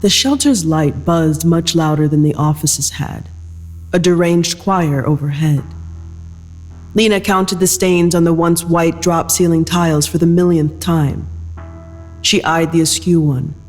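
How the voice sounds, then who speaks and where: A narrator reading scripted audio fiction in a measured storytelling style. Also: mid-range voice, a little mellow, big-room sound, faint music behind.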